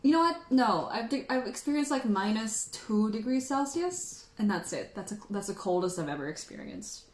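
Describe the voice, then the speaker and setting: A woman's voice: a little high, expressive, normal speed, few pauses, echoey room. A woman, a native Tagalog speaker, hosting a casual livestream and chatting with viewers in a playful, teasing, jokey way. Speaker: host of a tea tasting livestream.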